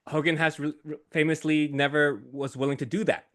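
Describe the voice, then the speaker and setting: A man who is a guest on a podcast, talking in a casual, animated way and laughing often. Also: fairly high pitch, fairly quick.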